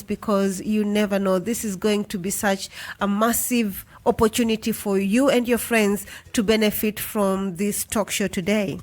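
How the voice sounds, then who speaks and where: Effusive woman radio host, warm-up and welcomes, mid-pitched, lots of "um"s. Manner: effusive, many fillers and "massive" welcomes. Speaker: radio talk show host. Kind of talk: community radio talk show hosting.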